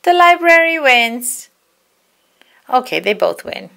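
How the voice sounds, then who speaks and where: A woman reading a children's picture book aloud in an animated, dramatic storytelling manner. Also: sits high, slow, creaky.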